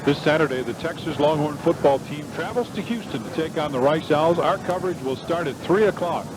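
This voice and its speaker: A man's voice: on the high side, brisk. An American man reading a scripted promo as a local radio station announcer. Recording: noisy 1980s AM radio recording.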